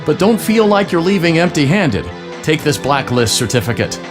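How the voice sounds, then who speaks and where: An actor playing a falsely cheery corporate announcer in a game, delivering mock congratulations with snide condescension. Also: male voice, on the high side, big pitch swings, moderate pace, over music. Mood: cheerfully snide. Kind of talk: scripted narration.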